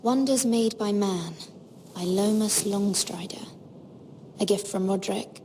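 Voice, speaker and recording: Gentle, guarded young noblewoman, played by an actress. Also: medium pitch, unhurried, slight background noise, natural voice. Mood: wistful. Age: young adult. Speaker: voice actor. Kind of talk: dramatic video game acting. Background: music.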